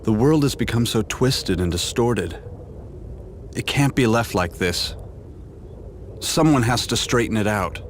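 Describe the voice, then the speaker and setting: A man's voice: fairly low pitch, animated, unhurried, long pauses, slight background noise. A man playing a determined, grieving fantasy hero in a video game, speaking earnestly and dramatically. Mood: determined, somber.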